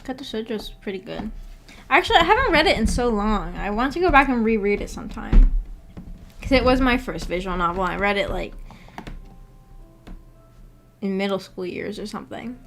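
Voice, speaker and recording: A woman's voice: medium pitch, animated, brisk, vocal fry, pauses often, fairly bright tone, faint music behind. A woman hosting a casual livestream, reading out and answering viewer comments in a giggly, hesitant, self-effacing way. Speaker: livestream host.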